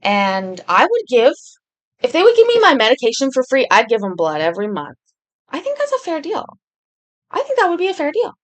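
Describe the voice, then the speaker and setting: A woman's voice: high-pitched, huge pitch swings, a little fast. A woman from Britain hosting a live stream in casual, rambling chat, reading and answering viewer comments with lots of ums and restarts.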